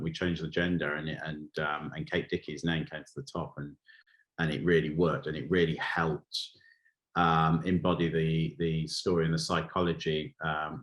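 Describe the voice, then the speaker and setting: A man's voice: deep voice, a bit bright. A man from Wales answering interview questions as a film director, speaking hesitantly and searching for his words.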